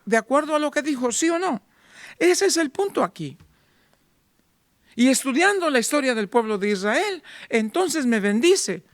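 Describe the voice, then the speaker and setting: High-voiced man preaching a sermon. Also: no room sound, studio quality. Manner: rhetorical questions, voicing doubting listeners. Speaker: pastor.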